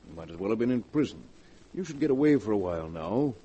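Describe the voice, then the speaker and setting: An actor playing a concerned, level-headed best friend, measured and persuasive, in a 1940s radio drama. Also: male voice, on the low side, very animated, mellow, breathy edge. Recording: dull, faintly noisy old radio recording.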